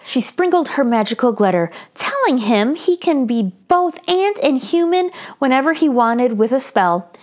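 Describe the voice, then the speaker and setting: A woman storyteller reading a children's book aloud in an expressive, theatrical, deliberate way. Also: high-pitched.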